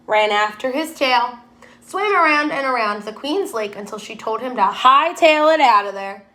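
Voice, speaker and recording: A woman's voice: on the high side, a bit bright. A woman teacher reading a children's storybook aloud expressively, putting questions to her young listeners.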